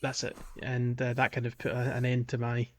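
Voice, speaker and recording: A man's voice: medium pitch, completely flat delivery, a little fast. A man co-hosting a casual gaming podcast, often hesitating and rephrasing himself as he talks. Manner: hesitant, self-correcting phrasing. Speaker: podcast co-host.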